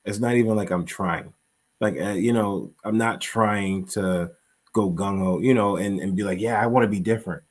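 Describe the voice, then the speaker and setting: A man as an interview guest, talking in a halting, rambling way full of fillers. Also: lowish voice. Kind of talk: conversational interview.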